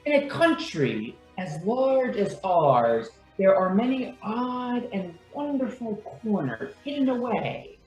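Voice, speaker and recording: A woman announcer reading a scripted radio drama introduction in a theatrical, deliberate style. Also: medium pitch, darkish tone, roomy sound, poor audio. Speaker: announcer and narrator. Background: music.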